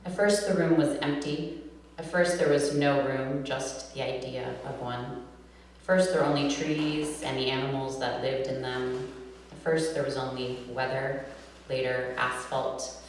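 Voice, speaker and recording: Echoey, distant American woman reading poetry. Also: extremely low pitch. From New York City, USA. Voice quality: nasal. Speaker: poet reading own work. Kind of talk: live poetry reading with casual introductions between poems. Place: reverberant room at a live reading event. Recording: echoey hall, distant mic, humming noise.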